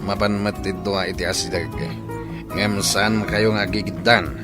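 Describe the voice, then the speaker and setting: A man's voice: deep voice, fairly even intonation, a little slow, over loud music. A man playing a sly, bossy gang leader giving orders in a comedy radio drama. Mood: commanding. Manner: bossy, scheming. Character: sly, commanding male gang boss.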